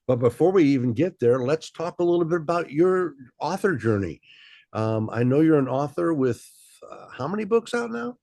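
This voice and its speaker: A man's voice: a little high, moderate pace, vocal fry, no room sound. A man hosting a casual interview, easygoing and loose with fillers. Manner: relaxed, many fillers and repeats. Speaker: host.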